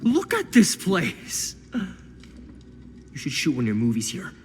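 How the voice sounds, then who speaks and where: An actor playing a flamboyant, cheeky schemer in a video game, theatrical, teasing and smug. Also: male voice, a little high, wildly swooping pitch, darkish tone, full character voice. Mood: excited. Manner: theatrical, teasing, drawn-out exclamations. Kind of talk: expressive video game acting. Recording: long hall reverb, faint background noise.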